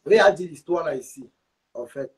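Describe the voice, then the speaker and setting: A man's voice: fairly high pitch, animated, normal speed, a little hiss or hum. A man hosting a live stream, talking insistently and repeating his points while urging viewers to share. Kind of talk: heated live stream chat.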